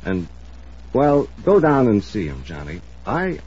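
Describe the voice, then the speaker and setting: A man's voice: fairly low pitch, huge pitch swings, a little slow, darkish tone, husky, full character voice. An actor playing an evasive, persuasive insurance company man in a 1950s radio drama, businesslike and hedging. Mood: coaxing. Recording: muffled old radio recording.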